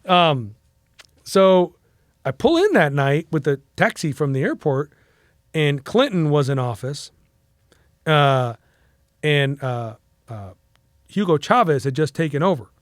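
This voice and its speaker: A man's voice: a little high, very animated, slow, long pauses, no echo, studio quality. A Mexican-American man in his forties, telling a long casual story as a podcast guest, rambling with fillers and restarts. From United States.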